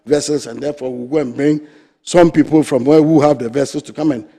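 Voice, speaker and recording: A middle-aged Ghanaian man, president of a fisheries association, arguing his case forcefully and emphatically as a guest on a TV studio discussion. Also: a little high, fairly even intonation, a little fast.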